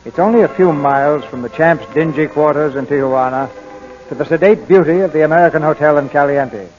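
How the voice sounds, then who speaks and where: Old radio drama host guy, reads the scene setup, lightish voice, soft music, dull sound. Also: on the high side, brisk. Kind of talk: scripted 1940s radio narration. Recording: muffled old 1940s radio recording. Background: faint music.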